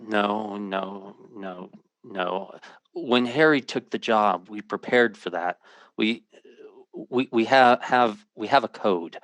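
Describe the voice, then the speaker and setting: Low-voiced, worried American man. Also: takes it slowly, fairly bright tone, dry recording. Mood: anxious, grave. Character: worried, impatient brother narrating his search for missing sibling. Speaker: lead actor and first-person narrator. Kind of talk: radio drama acting and hardboiled narration.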